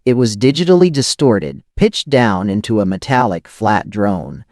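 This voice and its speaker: A man's voice: fairly low pitch, animated, moderate pace, a little mellow, no room sound, studio quality. A man reading a first-person scripted thriller as an audio drama narrator, in tense, dramatic, clipped phrases.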